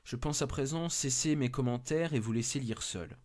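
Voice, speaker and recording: A man's voice: mid-range voice, moderate pace, a little mellow. A man narrating a scripted first-person horror story.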